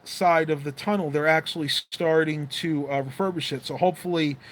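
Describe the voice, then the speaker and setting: A man's voice: fairly high pitch, steady tone, normal speed. A man hosting a casual livestream, rambling through viewer comments with lots of fillers while his voice goes dry and fades. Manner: rambling, chatty, with many fillers. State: losing his voice, dry mouth. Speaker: livestream host.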